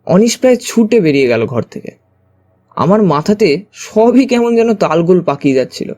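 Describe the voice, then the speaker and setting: Male storyteller for audio mystery; slightly high, very expressive intonation, dramatized first-person reading. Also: normal speed. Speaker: story narrator, also voicing the narrating character.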